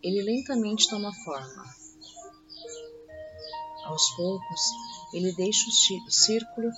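A Brazilian woman narrating a scripted guided meditation as the guide, with slow, soothing, instructive phrasing. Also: medium pitch, expressive. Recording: dull lo-fi audio under loud music. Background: loud music with birdsong.

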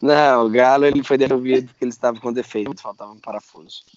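A man in his thirties from Minas Gerais, Brazil, with a Minas Gerais accent, guesting on a podcast and telling stories in a relaxed, self-deprecating way. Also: mid-range voice, very fast, no room sound. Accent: Minas Gerais Brazilian Portuguese. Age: 30s. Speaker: podcast guest, biologist and reptile breeder. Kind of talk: casual interview chat. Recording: dull lo-fi sound, dry.

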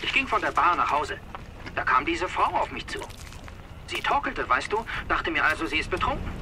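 A man's voice: on the high side, very animated, moderate pace, noisy background. A man playing an agitated, insistent caller who is shaken by what he saw, in an audio drama. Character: agitated radio show caller, frightened eyewitness man.

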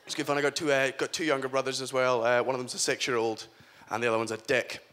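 A young man in his early twenties performs stand-up, with dry, self-deprecating punchlines. His voice is bright and forceful at a middle pitch. He is recorded on a live comedy stage, with faint noise in the background. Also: flat delivery, quick pace. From Scotland.

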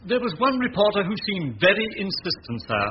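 A man's voice: high voice, very animated, fairly quick. A man playing a deferential, fussy manservant in a 1940s radio drama, formal and discreetly apologetic. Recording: dull, noisy old 1940s radio recording.

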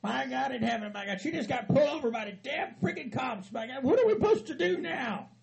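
Brief: frantic cussing hillbilly geezer, very high and gravelly, Southern hillbilly drawl, rambling comedy-sketch energy. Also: male voice, animated, fairly quick, background noise, heavily acted. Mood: panicked. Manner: rambling, stammering, laughs through his words, bursts into yelling. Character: frantic aging hillbilly husband, loud and cussing.

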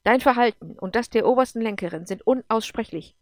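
A woman's voice: on the high side, fairly quick, darkish tone, no echo, clean studio sound, natural voice. A woman voicing a wrathful, imperious celestial judge in an audiobook, with stern, commanding pronouncements. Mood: stern, condemning.

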